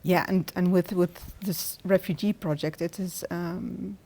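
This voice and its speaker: A woman's voice: fairly low pitch, fairly even intonation, a little slow, warm tone. Hesitant woman professor, thinking aloud. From Tunisia. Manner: thoughtful, hesitant with restarts. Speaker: guest professor. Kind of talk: academic interview answers.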